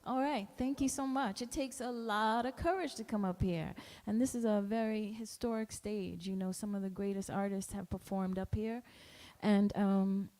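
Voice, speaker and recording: A woman's voice: medium pitch, mellow. A woman with a mid-range voice hosts an open mic night in a small club. She speaks encouragingly as she introduces each performer. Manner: encouraging, welcoming. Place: small music club stage.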